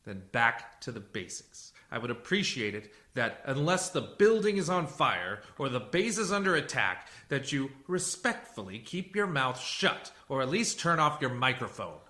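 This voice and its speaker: A man's voice: mid-range voice, very animated, moderate pace, hardly any pauses, sharp tone. A man acting in a radio play as a blunt, self-assured civilian interrogator and psychologist who talks in a dry, cutting way. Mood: irritated, cutting. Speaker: actor.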